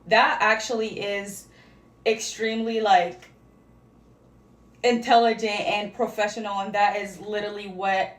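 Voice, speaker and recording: A woman's voice: mid-range voice, level tone, a little slow. A young woman whose first language is Arabic, chatting casually as a vlogger while getting ready, rambling and searching for words. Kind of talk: casual get-ready-with-me chat. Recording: echoey room.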